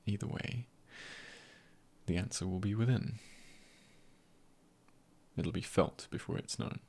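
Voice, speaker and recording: A very deep male voice with a gravelly crackle from a man acting it out. He is an easygoing, comforting friend in an ASMR roleplay. He talks gently and unhurriedly, husky and breathy, with a playful, teasing streak. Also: full character voice. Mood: gentle, reassuring. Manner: gentle, hesitant pauses, lightly teasing.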